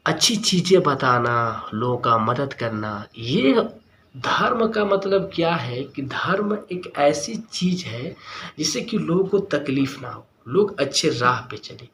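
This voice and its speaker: A man's voice: mid-range voice, a bit bright, slight background noise. A man giving an earnest opinion monologue to viewers as a vlogger, speaking emphatically and repeating key words and phrases.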